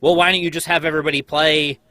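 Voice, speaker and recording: A man's voice: a little high, flat delivery, fast, pushed and bright. An American man who teaches college, chatting casually in a group conversation.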